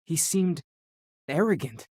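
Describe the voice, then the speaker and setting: An actor playing an earnest, thoughtful young explorer of faith in a video game, speaking sincerely and a little hesitantly. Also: male voice, fairly high pitch, big pitch swings, slow, a little mellow, dry recording, clean studio sound, full character voice. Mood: concerned, earnest. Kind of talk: scripted game dialogue.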